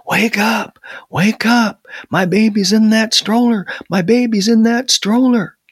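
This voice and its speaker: Man acting out a frantic high-pitched yelling father. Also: fairly even intonation, a little slow, breathy edge, dry recording, studio quality. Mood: frantic, pleading. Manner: pleading, desperate yelling. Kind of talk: live-style oral storytelling.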